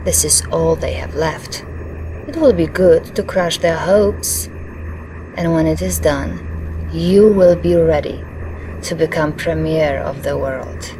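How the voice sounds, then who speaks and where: An actress playing a loyal, composed Soviet intelligence lieutenant with a Russian accent, briefing dutifully in a game cutscene. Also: female voice, fairly low pitch, background noise.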